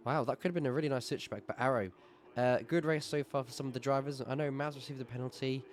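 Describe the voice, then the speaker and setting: A man with a sore throat giving brisk live play-by-play commentary on a sim race, rising in excitement at overtakes. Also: medium pitch, a little hiss or hum.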